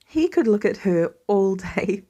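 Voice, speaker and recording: A woman's voice: mid-range voice, sing-song, a little slow, mellow, muffled recording. Woman reading a story aloud expressively. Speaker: podfic reader. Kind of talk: expressive audiobook-style narration of fan fiction.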